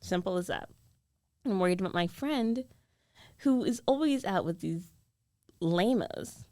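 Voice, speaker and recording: A woman's voice: medium pitch, expressive, a little slow, warm tone, no echo, studio quality, natural voice. A woman acting a jealous, defensive young roommate who is secretly in love, in an emotional, conversational ASMR roleplay. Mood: defensive, irritated. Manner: emotional, conversational, pleading and protesting. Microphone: close studio microphone, dry.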